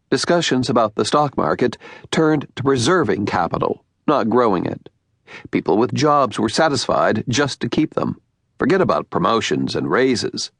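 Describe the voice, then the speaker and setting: An American man reads scripted nonfiction in a gravelly, creaky voice of medium pitch. His phrasing is deliberate, with pauses for emphasis, and the recording is close and dry, as in an audiobook. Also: dry recording. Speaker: audiobook narrator.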